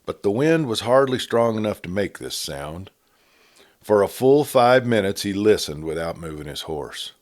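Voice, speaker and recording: A man with a very deep voice narrates a Western story aloud. His delivery is measured and steady, like a storyteller by a campfire. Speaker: storyteller reading a novel aloud.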